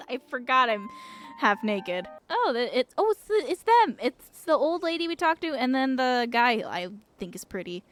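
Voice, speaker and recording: Animated high-voiced woman streaming a game. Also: a little slow, soft background music. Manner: giggly, reactive. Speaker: streamer playing a visual novel. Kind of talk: casual game commentary with reading aloud.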